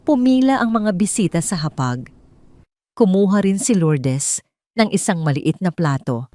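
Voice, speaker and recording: Filipino woman narrator with low, swooping intonation. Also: a little mellow. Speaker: storyteller, audiobook narrator. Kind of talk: scripted dramatic story narration.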